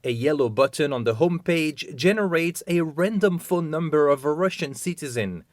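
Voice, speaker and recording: A man's voice: on the high side, moderate pace, no echo, studio quality. A man narrating a news report as a reporter, reading from a script.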